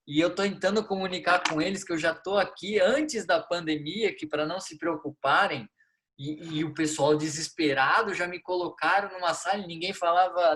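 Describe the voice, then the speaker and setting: A Brazilian man in his thirties, a guest on a podcast, telling stories in a lively way, acting out dialogue and laughing often. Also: fairly high pitch. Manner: animated storyteller, acts out dialogue, laughs a lot. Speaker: football coach, guest. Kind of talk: storytelling in a podcast interview.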